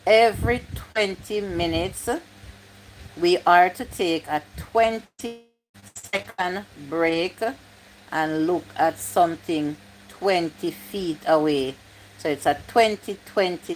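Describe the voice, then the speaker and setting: A woman's voice: low voice, slow pace, a little hiss or hum, rough recording. A woman, chatting casually on a livestream, reading comments aloud and repeating her points. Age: 50s. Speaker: YouTube live streamer.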